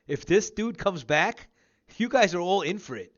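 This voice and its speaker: A man's voice: sits high, expressive, normal speed, a bit bright, highs cut off. A man of about fifty hosting a casual gaming interview, talking in a rambling, repetitive, emphatic way. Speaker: show host interviewing a guest.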